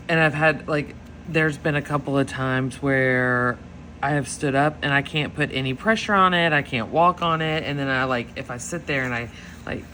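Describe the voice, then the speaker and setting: A woman's voice: a bass voice, fairly bright tone. A woman, rambling through a story full of fillers while planning, with faint background noise. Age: 40s. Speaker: planner vlogger. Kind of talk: casual chat while planning.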